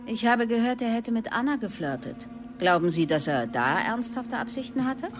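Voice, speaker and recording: A woman's voice: on the high side, very animated, normal speed. An actress in a German radio drama playing a probing female police inspector who also narrates, composed and direct. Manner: direct, controlled questioning.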